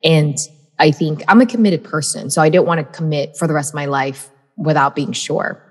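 A woman's voice: deep voice, moderate pace, slightly rough. A woman in her thirties from the United States, telling her life story as a podcast guest in a chatty way, full of "um" and "like". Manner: chatty, many fillers like "um" and "like". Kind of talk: casual storytelling. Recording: long hall reverb.

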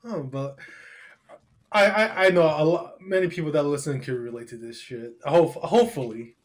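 A man's voice: fairly high pitch, moderate pace. A young man chatting casually on a podcast, slangy and swearing often, calling people dude. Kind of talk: casual chat.

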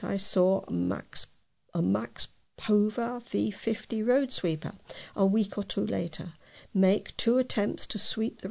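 British female volunteer reader for printed letters, mid-pitched with gravelly fry. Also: darkish tone. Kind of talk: reading readers' letters aloud.

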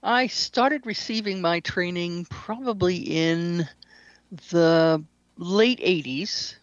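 A woman speaks as an interview guest, explaining things deliberately and pausing as she goes. Her recording is dry and close, with a somewhat dull, lo-fi tone. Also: lowish voice, no room sound. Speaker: guest author and shamanic practitioner.

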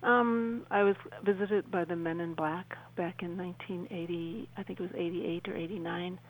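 A woman's voice: on the low side, steady tone, normal speed, rough recording. A middle-aged woman giving an earnest personal account as a guest in a radio interview.

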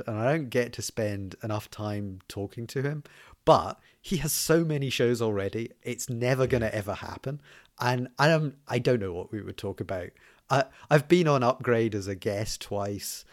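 A man with a light accent mixing Scottish and southern English, chatting as a podcast guest and often restarting his sentences with fillers. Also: medium pitch. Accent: mixed Scottish and southern English. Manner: hesitant, many restarts and fillers. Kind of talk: casual podcast conversation.

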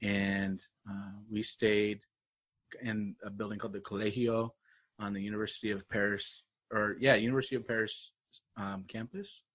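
A man's voice: lowish voice, flat delivery, moderate pace, fairly bright tone. A young man co-hosting a casual chat, talking easily with many fillers and laughs. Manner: chatty, laughing, filler-heavy. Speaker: podcast co-host.

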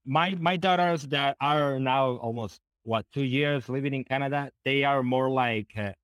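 A middle-aged man with a Honduran Spanish accent talks casually as an interview guest. His voice sits at an ordinary male pitch, recorded dry. He often doubles back on words as he looks for the English phrase. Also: fairly bright tone, clean tone, no room sound. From northern coast of Honduras. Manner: halting, repeats words while searching for English phrasing. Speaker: podcast guest.

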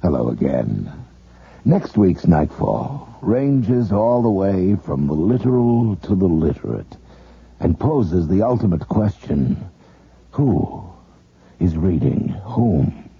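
A deep-voiced male host, gravelly and very husky, speaks slowly with ominous swoops of pitch. The lo-fi sound is dry and close. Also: very animated, no room sound. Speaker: host of a radio horror series. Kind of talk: scripted host sign-off. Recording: dry lo-fi radio recording.

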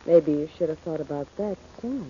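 Mellow, sly, taunting radio schemer. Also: female voice, deep voice, lively intonation, brisk. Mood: mocking, cool. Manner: arch, mocking. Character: cool, sly, mercenary sister, taunting. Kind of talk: 1940s radio acting. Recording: muffled old-time radio recording, faint noise.

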